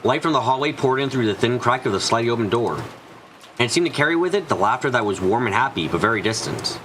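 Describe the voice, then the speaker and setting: A man's voice: mid-range voice, lively intonation, quick pace, a bit bright, a little hiss or hum, natural voice, poor audio. A man voicing an anxious, frightened narrator trapped and desperate, in a first-person visual novel fan dub. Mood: uneasy, brooding. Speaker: voice actor narrating.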